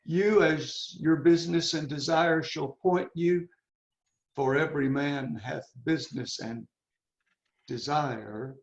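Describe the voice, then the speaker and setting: A man acts out a feverish young prince in a Shakespearean stage reading. His fairly high voice has a creaky, gravelly grain, and he declaims with brooding intensity, dwelling on words. It is heard in a very echoey room. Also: darkish tone. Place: indoors on a video call. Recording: roomy, echoing, muffled video call audio.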